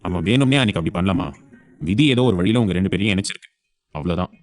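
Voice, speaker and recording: A man's voice: low voice, very fast, close to an everyday voice. A man voicing a stern, protective grandfather in an audio drama, emphatic and authoritative. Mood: firm, reassuring. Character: stern, protective grandfather, indignant family elder. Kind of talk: audio serial drama acting.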